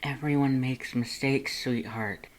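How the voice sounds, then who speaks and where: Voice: medium pitch, steady tone, unhurried, lightly acted. A performer voicing an affectionate, flirty male skeleton monster who soothes and coaxes with pet names in a fanfiction audiobook. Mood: reassuring. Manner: purring, murmuring, coaxing with endearments.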